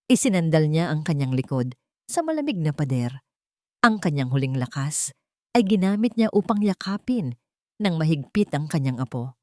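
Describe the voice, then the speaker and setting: A woman narrates a dramatic story in a somewhat low voice. Her phrasing is deliberate and emotive, and the recording is dry and close. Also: big pitch swings, dark tone, dry recording.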